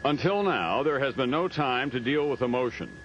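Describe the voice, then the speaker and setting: A man's voice: medium pitch, very animated, moderate pace, fairly bright tone. A man narrating a news documentary in a scripted reporter's style. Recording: dull lo-fi audio, faint noise.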